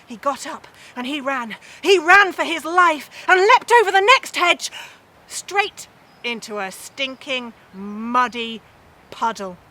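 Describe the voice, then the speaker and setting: A woman telling a humorous medieval tale theatrically, with dramatic pauses and emphasis. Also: sits high, lively intonation, bright, forceful tone. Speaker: storyteller.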